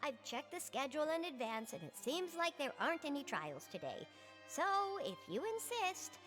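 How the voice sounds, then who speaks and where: A fretful young female court aide, very high voice, lively swoops, soft music under. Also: moderate pace. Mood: exasperated. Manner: fretful, protesting. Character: fussy young female court assistant, exasperated. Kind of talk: game voice acting.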